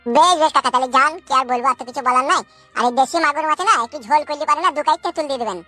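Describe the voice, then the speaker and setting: A man's voice: very high-pitched, racing, bright, forceful tone, faint music behind, full character voice. A man voicing a polite, aggrieved young village man in a comedy cartoon. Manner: deferential, explanatory.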